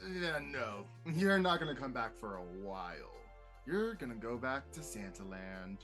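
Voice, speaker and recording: A man's voice: medium pitch, sing-song, measured pace, music in the background. A man playing a firm, dismissive security guard in a table read of a comedy script.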